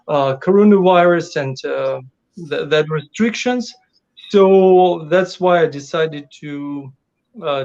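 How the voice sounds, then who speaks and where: A man from Ukraine speaks haltingly in a fairly high voice, stopping often to restart his sentences. The call audio sounds dull and lo-fi. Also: darkish tone. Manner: hesitant, many fillers and restarts. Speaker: guest artist. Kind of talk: casual interview over a call. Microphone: video call.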